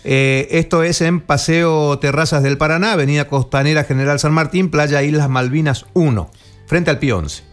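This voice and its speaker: An Argentine man hosts a morning radio show, running quickly through weekend events with dry asides. His voice sits a little high for a man. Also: faint music behind. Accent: Argentine Spanish. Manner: brisk listings read-out with jokes. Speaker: radio host. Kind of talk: casual radio chat.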